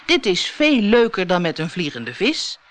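A woman's voice: on the low side, very animated, unhurried. A woman voicing a naive, emotional little boy starfish for a children's storybook. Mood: delighted.

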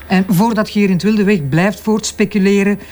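An actress playing a sharp, sceptical Flemish deputy prosecutor, challenging and sardonic in a crime drama. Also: female voice, medium pitch, steady tone, a little fast, natural voice. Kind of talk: naturalistic television crime drama acting.

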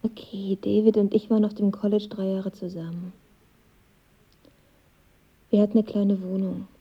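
An actress playing a guarded, vulnerable young woman in a radio drama, confiding in a hushed, breathy voice. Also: medium pitch, level tone, moderate pace, lo-fi sound. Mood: hurt, confiding. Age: young adult. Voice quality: breathy, husky.

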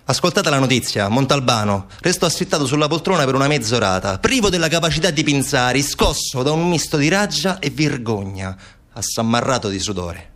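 A man performing a theatrical narrative monologue as a stage storyteller, deliberate and expressive. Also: medium pitch.

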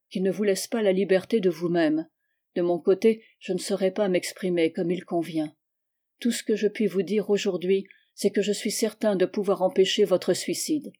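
A woman's voice: fairly low pitch, mellow, close to an everyday voice. Woman reading as a firm, earnest young man. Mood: calm. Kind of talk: audiobook dialogue reading.

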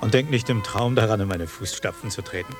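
A man's voice: fairly low pitch, brisk, soft background music, lightly acted. An actor playing a resigned old countryman in a radio play, with a plain, homely way of talking. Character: old male village neighbour, resigned countryman. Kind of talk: German radio drama acting.

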